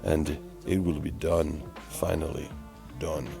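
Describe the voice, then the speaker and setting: A man's voice: a bass voice, level tone, gravelly, over music, exaggerated voice. A man playing a pompous, easily exasperated narrator who mocks a painter in a theatrical, mock-dramatic DIY voice-over. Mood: solemn, melodramatic. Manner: theatrical, pompous, drawn-out repetition.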